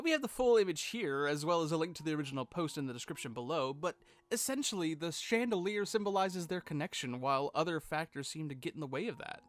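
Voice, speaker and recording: A man co-hosting a scripted commentary, casual and sweary in his asides, with music playing behind him. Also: on the high side. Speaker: video co-host. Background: music bed.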